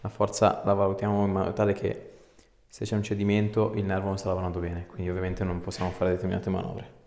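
A man's voice: low-pitched, completely flat delivery, fast talker, creaky, darkish tone. A man, working as a chiropractor, giving short repeated coaxing instructions and chatting casually with joking asides. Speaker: chiropractor treating a patient. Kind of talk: hands-on instructions and casual chat with patient. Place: small indoor treatment room. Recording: echoey small room, faint background noise.

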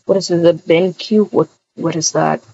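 A woman voicing a laid-back, obliging young boyfriend in a game roleplay, speaking quietly and tersely. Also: fairly even intonation, normal speed, vocal fry. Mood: calm, low-key. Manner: quiet, low-key, terse. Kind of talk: improvised video game roleplay. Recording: dull, muffled lo-fi recording.

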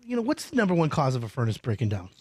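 Middle-aged male radio host, playful and emphatic, close-miked in a small studio; lively, mid-high voice, dry sound. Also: rapid-fire, slightly rough, no room sound. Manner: animated, emphatic, playful storytelling. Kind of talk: radio call-in interview. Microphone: large studio mic up close.

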